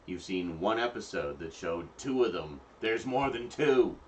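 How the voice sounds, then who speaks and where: A man's voice: low voice, animated, measured pace, distant mic, a little hiss or hum. A man cooking and cleaning in his home kitchen, chatting casually and rambling to viewers with lots of fillers. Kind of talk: casual live-stream chat.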